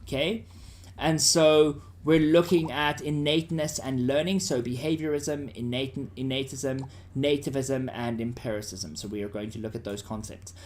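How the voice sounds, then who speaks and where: A man's voice: mid-range voice, fairly even intonation, moderate pace, slight background noise. A man with a South African accent teaching students in an informal, conversational way, often checking that they follow. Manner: informal, checks listeners' understanding.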